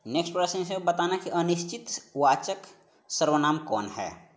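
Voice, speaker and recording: A male teacher with a somewhat high voice delivers an instructional lecture. He works through practice questions in an explanatory way, restating key phrases and answers for his students. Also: expressive, moderate pace. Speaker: teacher of exam-prep grammar class.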